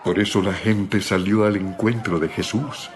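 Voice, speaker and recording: Deep-voiced male Bible narrator. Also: steady tone, a little slow, slight background noise. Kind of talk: scripted dramatized Bible narration.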